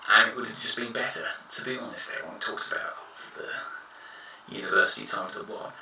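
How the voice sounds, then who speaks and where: A man's voice: fairly low pitch, brisk, pushed and bright, slight background noise. A man in his thirties giving frank, rambling advice in a casual monologue. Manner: frank, rambling, blunt opinions. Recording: echoey room, somewhat far from mic.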